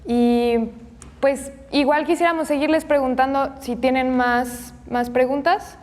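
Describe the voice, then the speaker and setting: A woman's voice: high voice, steady tone, unhurried, a little mellow. A woman moderating a forum, keeping her remarks polite and brief. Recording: long reverb, off mic.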